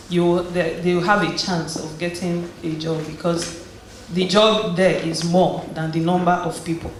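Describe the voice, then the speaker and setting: Woman speaking in an echoey hall. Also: on the low side. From Nigeria. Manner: conversational storytelling with frequent restarts. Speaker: guest speaker at a community event. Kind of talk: personal testimony and encouragement. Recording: very echoey hall with faint noise.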